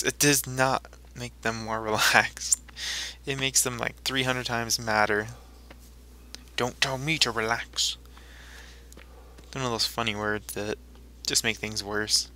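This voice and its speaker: A man's voice: medium pitch, little pitch movement, a little slow, sharp tone, a little hiss or hum. A man hosting a gaming video, rambling casually and talking to himself as he plays. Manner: rambling, talks to himself while playing. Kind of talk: casual let's play commentary.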